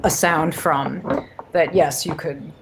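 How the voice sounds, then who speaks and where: A woman interviewing casually, asking questions in tentative, halting phrases. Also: deep voice, vocal fry, background noise. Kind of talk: casual chat.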